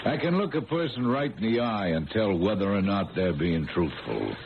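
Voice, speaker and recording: A middle-aged man playing a skeptical, patronizing veteran police sergeant in an old-time radio drama, with folksy, drawn-out emphasis. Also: lowish voice, sing-song. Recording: tinny, noisy old radio broadcast.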